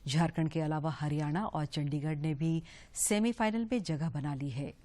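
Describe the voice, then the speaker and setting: A woman anchors a regional news bulletin. Her voice sits low, and she reads in a steady, formal way. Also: moderate pace. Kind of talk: scripted news reading.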